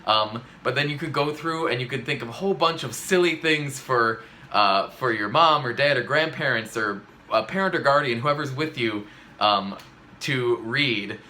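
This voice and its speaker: A man's voice: medium pitch, normal speed, sharp tone. A man hosting a children's read-aloud, explaining things in an informal, casual way. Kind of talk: casual instructional chat.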